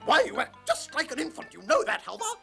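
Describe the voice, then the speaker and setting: A woman's voice: sits high, wildly swooping pitch, fairly quick, creaky, full character voice. A woman voicing a cartoon Viking sailor who mimics a nagging wife and then the blustering chief, mocking and laughing between lines. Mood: shrill nagging. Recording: music loud behind the voice.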